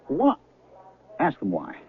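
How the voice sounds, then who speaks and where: An actor playing a flustered, indignant airline passenger in a 1970s radio drama, exasperated, sputtering and insistent. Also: male voice, medium pitch, wildly swooping pitch, takes it slowly, husky, heavily acted. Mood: incredulous. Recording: muffled, phone-like old radio broadcast.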